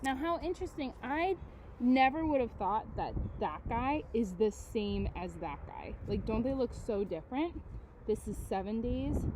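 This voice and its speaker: A woman with a fairly high voice reads a children's picture book like a teacher. She is expressive and often breaks off to chat, explain and put questions to her young listeners. There is faint background noise on the recording. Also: a little slow. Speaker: teacher reading aloud to children. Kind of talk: children's picture-book read-aloud with chatty asides.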